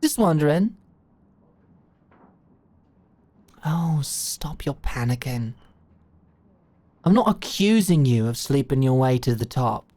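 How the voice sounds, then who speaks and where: Woman acting a low-voiced, brash older British boss. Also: big pitch swings, a little slow, warm tone, exaggerated voice. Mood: amused, blunt. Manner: brash, slangy, commanding. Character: blunt, shrewd older British female company executive. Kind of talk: scripted audio roleplay monologue.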